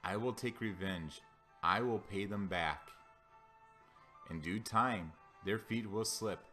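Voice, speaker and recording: A man's voice: fairly low pitch, takes it slowly, pushed and bright, soft background music. A man voicing a mighty, wrathful God in a scripture reading, commanding and declamatory as he proclaims vengeance.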